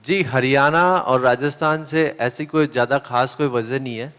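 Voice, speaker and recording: Earnest man with a smooth voice. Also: mid-range voice, normal speed, a bit bright. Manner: earnest, hesitant with many fillers. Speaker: team captain. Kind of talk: press conference answers. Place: press hall. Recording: long reverb of a hall.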